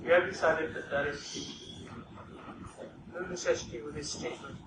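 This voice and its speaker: A man's voice: a little high, steady tone, moderate pace. Curt male judge in noisy echoing court. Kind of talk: formal courtroom procedure. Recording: echoey courtroom from far off, loud noise.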